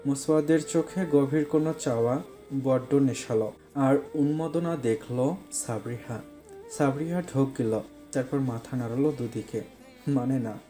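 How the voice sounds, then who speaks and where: A man narrates a scripted love story. His voice sits at a medium pitch with a dark, relaxed tone. Faint background music runs under the storytelling.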